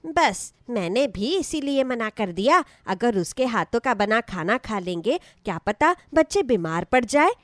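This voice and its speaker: Casting: female performer as nosy disapproving neighbour woman for a moral tale, lively, high-pitched. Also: unhurried, hardly any pauses, no echo, studio quality. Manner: disapproving, gossipy. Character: gossiping, suspicious neighbourhood women.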